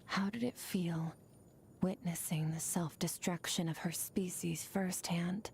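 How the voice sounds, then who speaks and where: An actress playing an aloof, stoic young swordswoman in a video game, speaking in a cool, measured, detached way. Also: on the low side, steady tone, vocal fry, a little mellow, slight background noise. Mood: calm, reflective. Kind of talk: scripted video game character monologue. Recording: game audio with music underneath.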